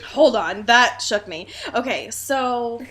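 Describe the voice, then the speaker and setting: A woman with a high, bright voice hosts a casual comedy chat about books. Her pitch swings widely as she exclaims, swears and slips easily into laughter. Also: lively intonation, takes it slowly. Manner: laughs often while talking. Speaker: podcast co-host. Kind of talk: casual comedic book discussion.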